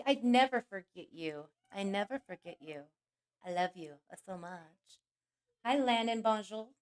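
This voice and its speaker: A woman hosting a livestream with a scratchy, hoarse voice from a cold, chatting casually and greeting each viewer by name. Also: a little high. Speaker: livestream host.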